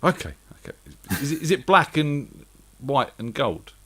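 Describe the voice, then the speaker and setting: A man's voice: mid-range voice, big pitch swings, moderate pace, fairly bright tone, husky. A man hosting a radio show with casual, joking banter among friends. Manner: jokey, bantering. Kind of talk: casual chat.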